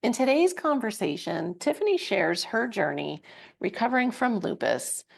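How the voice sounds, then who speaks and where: A woman's voice: medium pitch, big pitch swings, normal speed, vocal fry. A woman hosting a podcast, introducing her guest and chatting, often laughing along and adding short replies. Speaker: podcast host. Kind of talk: podcast host intro and interview chat.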